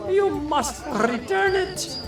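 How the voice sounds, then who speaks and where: Ominous cultist leader, very slow delivery, high pitched, distant, music behind, radio drama. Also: male voice, animated, exaggerated voice. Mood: solemn menace. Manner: slow, drawn-out, ominous pronouncements. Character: menacing cultist leader, solemn and threatening. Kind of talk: radio theater acting. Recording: echoing, distant voice over music.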